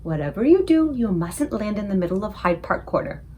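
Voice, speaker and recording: A woman voicing a small, excitable, cautious girl from a children's storybook, urgent and often hushed. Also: mid-range voice, a little fast. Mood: urgent, anxious. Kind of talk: children's book character voice.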